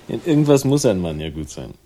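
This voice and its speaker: A husky, mellow man with a lively, swooping delivery. He is wry, teasing and insistent, in 1960s radio thriller style. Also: medium pitch, full character voice. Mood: wry, suspicious. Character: prodding, wry man questioning his secretive lover. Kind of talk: late-1960s German radio crime drama acting.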